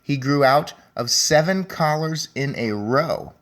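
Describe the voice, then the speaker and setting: A man reading a children's story aloud as a teacher, speaking deliberately and addressing young listeners directly. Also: fairly high pitch, a bit bright. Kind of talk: children's read-aloud with commentary.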